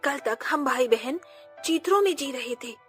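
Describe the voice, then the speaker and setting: A woman voicing a sensible, protective fifteen-year-old boy in a cartoon, speaking calmly and reassuringly. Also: sits high, moderate pace, faint music behind. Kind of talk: cartoon voice acting.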